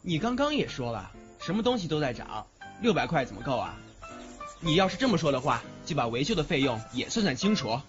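A man acts a young student tenant in an audio drama, fussy and insistent. His pitch moves around a lot. The sound is slightly dull, with music behind him. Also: very animated, brisk. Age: young adult. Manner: nitpicking, insistent. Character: fussy, hard-bargaining young male student renting a flat. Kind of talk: Chinese audio drama acting. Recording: muffled recording with music behind.

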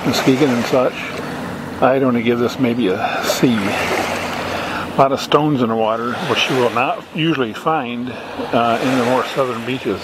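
A man from Michigan casually narrating a camping trip outdoors, relaxed and rambling with lots of uhs, recorded on a handheld camera. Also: medium pitch, a little slow, heavy background noise. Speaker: RV camping vlogger. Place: outdoors at a lakeside campground.

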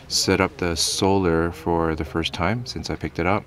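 A man narrating a casual camping and off-road vlog in a low-key, informal way, recorded outdoors. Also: fairly even intonation, moderate pace, a bit bright. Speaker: vlog host. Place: outdoors at a campground and trails. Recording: background noise, outdoors on location.

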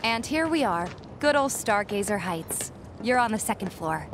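A woman with an American accent playing a kind, caring young barkeeper in a video game, gentle and a little hesitant. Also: medium pitch, lively intonation, normal speed, background noise, natural voice. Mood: friendly, shy. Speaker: game character actor.

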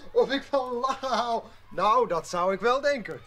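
A man's voice: very high voice, animated, a little slow, fairly bright tone, full character voice. A man playing a friendly, fun-loving schoolteacher in a children's audio play. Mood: jolly, enthusiastic.

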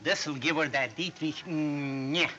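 Critical 1930s movie makeup artist, forceful tone, drawn-out remarks, dull hissy vintage track. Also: male voice, a little high. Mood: musing, critical. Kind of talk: 1930s film comedy acting. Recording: muffled, hissy old film soundtrack.

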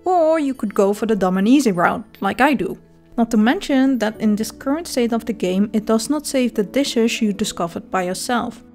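A woman's voice: a little high, expressive, moderate pace, darkish tone, faint music behind. Dutch-accented woman narrating a game guide. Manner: dry, self-mocking humor. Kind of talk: scripted voice-over game guide.

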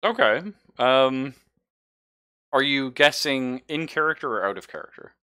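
A man's voice: mid-range voice, animated, a little slow, bright, forceful tone, smooth. A man narrating a tabletop roleplaying game as game master in a casual, informal, sweary way. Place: online game session, streamed.

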